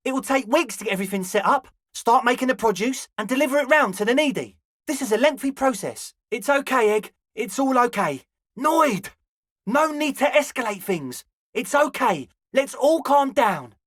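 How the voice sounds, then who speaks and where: A man's voice: very high voice, fairly bright tone, no room sound, clean studio sound, full character voice. A male actor voicing a young, slangy club hustler in a video game, conciliatory and laid-back with a pleading manner. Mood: bargaining, then placating. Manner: slangy, placating, drawn-out pleading. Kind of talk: video game character dialogue.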